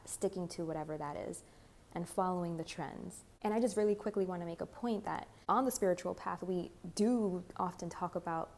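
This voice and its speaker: A young woman, a bit hoarse, giving a rambling spiritual tarot talk full of "like" and "you know". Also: on the low side, mellow. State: slightly hoarse. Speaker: tarot reader. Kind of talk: channeled spiritual monologue.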